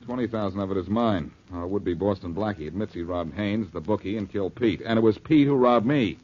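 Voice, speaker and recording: An actor playing a cocky, wisecracking amateur detective in a 1940s radio drama, needling and wry. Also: male voice, on the low side, a little fast, a little mellow, a little hiss or hum, natural voice. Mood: wry, teasing. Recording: dull lo-fi 1940s radio recording.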